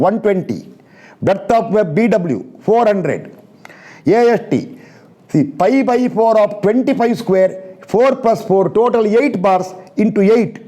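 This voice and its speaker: A man's voice: sits high, mellow. A man from India with an Indian English accent, teaching engineering in a blunt, repetitive lecture style that drills students with rhetorical questions. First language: not English. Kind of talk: recorded classroom lecture.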